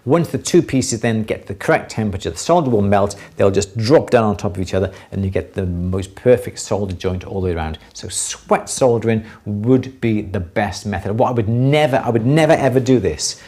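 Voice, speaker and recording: A man's voice: lowish voice, fairly quick, hardly any pauses, mellow. A middle-aged man with a Welsh accent, explaining hands-on craft work casually to a live audience and repeating words for emphasis.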